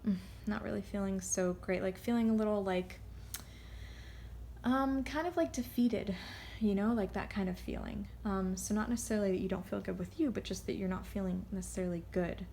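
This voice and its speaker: Rambling woman with a creaky voice. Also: mid-range voice, mellow, a little hiss or hum. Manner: rambling, halting, goes off on tangents. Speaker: tarot card reader. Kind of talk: casual, unscripted intuitive card reading.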